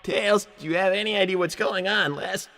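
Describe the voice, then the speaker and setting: Young fox sidekick for game role-play, male performer: high voice, excitable and stammering, music behind, very reverberant. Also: normal speed. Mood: earnest, chatty. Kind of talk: improvised in-character livestream role-play.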